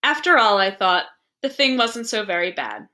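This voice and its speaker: A woman reading a literary story aloud with expressive, dramatic and deliberate phrasing. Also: medium pitch.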